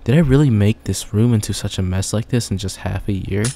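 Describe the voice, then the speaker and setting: Low mellow-voiced young man. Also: fairly quick, a little hiss or hum. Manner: chatty, reads text then jokes about it. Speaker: gamer. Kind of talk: casual let's play, reading game text aloud.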